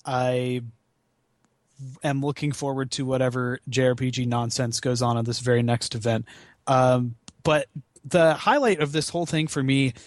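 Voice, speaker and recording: A man's voice: medium pitch, steady tone, moderate pace, no echo. A man chatting casually as a podcast guest, with a hesitant, wry, self-deprecating manner. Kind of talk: casual chat, comic read-aloud of fan fiction.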